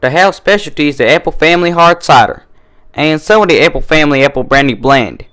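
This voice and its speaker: Blunt, folksy cartoon farm pony mare played by a man, Southern country accent, raised pitch, lively swings, stubborn and teasing. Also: a little fast, smooth. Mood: wry. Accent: American Southern country. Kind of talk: cartoon fan-fiction character dub.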